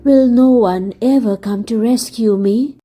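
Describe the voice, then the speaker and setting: A woman reading a storybook aloud, voicing a gloomy male egg prince who talks in a slow, mournful, drawn-out way. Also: fairly high pitch, mellow.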